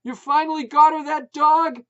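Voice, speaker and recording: Harried husband, strained yelling, slow deliberate phrasing, muffled lo-fi sound, voiced by a reader. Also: mid-range voice, voice pushed up, close to an everyday voice.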